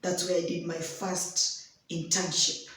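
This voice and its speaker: A young Kenyan woman with a hoarse voice tells a personal story in an unhurried devotional talk, slipping in Swahili phrases. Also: lowish voice, completely flat delivery, fairly bright tone. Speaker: host of a daily devotional. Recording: very echoey room.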